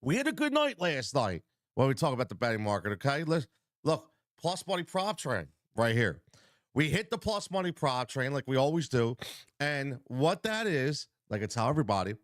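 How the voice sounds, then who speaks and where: A man hosting a live sports betting show, energetic and repetitive, talking straight to viewers while congested from a cold. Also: a little high, no room sound, clean studio sound. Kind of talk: live-stream betting talk.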